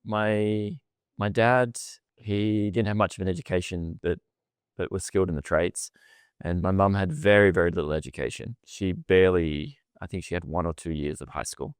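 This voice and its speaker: A middle-aged Australian man with a fairly low, smooth and clear voice, recorded dry and close on a studio mic. He talks in a relaxed, chatty way, often repeating his words. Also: normal speed, dry recording. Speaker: podcast guest. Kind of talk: casual storytelling interview.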